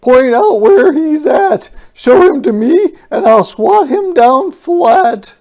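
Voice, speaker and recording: Huge space alien, grumpy, indignant, storybook rhyme, loud bellow, raised pitch. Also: expressive, slow pace, exaggerated voice. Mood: roaring, indignant.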